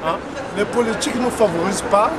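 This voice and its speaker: A man who runs a business, answering a street interviewer in halting, hesitant sentences. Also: high-pitched, over a noisy crowd. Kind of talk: street vox pop. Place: city street outdoors. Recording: loud street noise.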